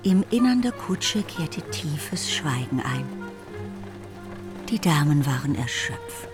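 A woman's voice: deep voice, big pitch swings, slow. A woman reading scripted literary narration as the narrator of a radio play. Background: music.

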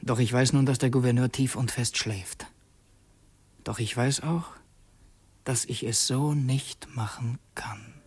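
An actor playing a secretive, brooding would-be assassin in a radio drama, intense and introspective, as if confessing to himself. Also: male voice, mid-range voice, fairly even intonation, a little mellow, breathy edge. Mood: hushed, reflective. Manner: intense, introspective, halting self-questioning. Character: brooding young male conspirator and would-be assassin, torn and secretive.

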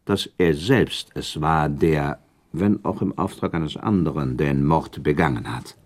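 An actor playing a thoughtful, self-doubting prince in a radio drama, speaking in courteous, elaborate formal phrases. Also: male voice, very deep voice, measured pace. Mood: reflective, emphatic. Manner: formal, elaborate, with inserted asides. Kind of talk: German radio drama acting.

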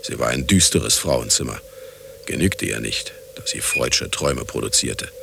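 An actor playing a cynical, self-justifying scientist and husband in a radio play, sardonic and condescending, with a gravelly voice. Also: male voice, extremely low pitch, animated, moderate pace, bright, forceful tone, a little hiss or hum, heavily acted. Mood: sardonic, brooding. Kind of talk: radio play acting, monologue and dialogue.

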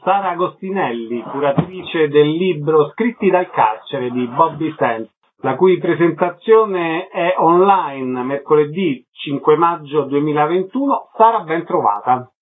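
A man's voice: on the high side, expressive, a little slow, husky. A man hosting a radio interview, asking short polite questions. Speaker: radio host. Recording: tinny phone line.

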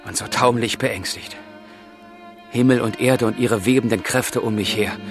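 A man's voice: medium pitch, moderate pace, soft background music, close to an everyday voice. An actor playing a passionate, brooding young man of the 1770s in a literary radio drama, with fervent, declamatory delivery. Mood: anguished, brooding. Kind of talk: literary radio drama, dialogue and letter monologue.

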